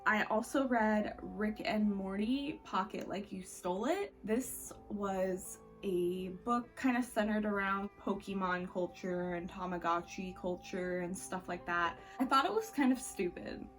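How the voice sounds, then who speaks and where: A woman chats informally through a book review, in the manner of a video blogger. Her talk is conversational, with wry little asides, and her pitch sits in a middle range for a woman. Music plays faintly behind her. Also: measured pace, hardly any pauses. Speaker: book vlogger. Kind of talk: casual chatty book review.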